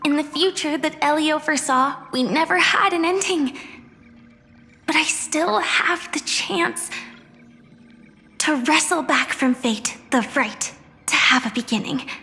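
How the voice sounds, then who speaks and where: An actress voicing a gentle, frail young woman in a video game, soft and breathy, wistful and quietly resolute. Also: high-pitched, faint music behind, exaggerated voice. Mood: tender, resolute. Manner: slow, dreamy, tender.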